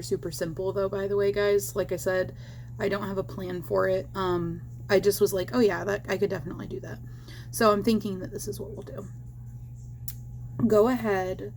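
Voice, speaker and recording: Female crochet vlogger, a little hoarse, chatty and rambling, with a faint hum behind her. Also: mid-range voice, darkish tone. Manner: rambling and chatty, with many fillers and little laughs. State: slightly hoarse, losing her voice. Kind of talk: casual crochet tutorial chat. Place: at home.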